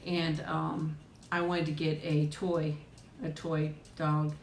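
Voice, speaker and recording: A woman's voice: flat delivery, takes it slowly. Chatty, laughing woman in an echoey room. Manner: chatty, rambling, laughs often, frequent ums. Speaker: host of a live chat and eating stream. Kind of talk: casual live chat with viewers. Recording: echoey room with faint rumble.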